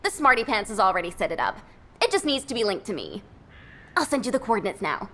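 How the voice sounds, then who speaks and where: An actress voicing an excitable, huffy young woman operative in a video game. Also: medium pitch, fast talker, slight background noise. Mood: brisk. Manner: animated, huffy.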